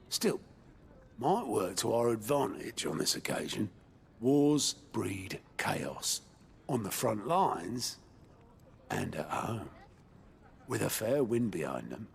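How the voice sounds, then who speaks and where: Sardonic male British informant, low and gravelly, animated inflection, fantasy game. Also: slight background noise. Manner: sardonic, colloquial, sly. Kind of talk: video game cutscene acting.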